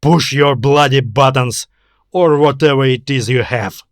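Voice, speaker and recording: A man playing a bitter, defiant man with a forceful, scornful delivery in a science fiction story. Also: mid-range voice, measured pace, dry recording, studio quality, close to an everyday voice. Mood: impatient. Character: bitter, defiant man, furious at his dead father.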